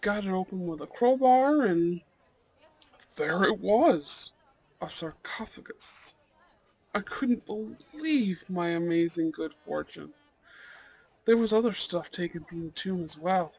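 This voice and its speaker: Male actor as young goth date, high husky voice, slow hesitant delivery, sweet and polite, ASMR roleplay. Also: lively intonation, exaggerated voice, rough recording. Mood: friendly, enthusiastic. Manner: hesitant, soft-hearted, nervous little laughs. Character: shy, polite, dorky young goth man on a blind date.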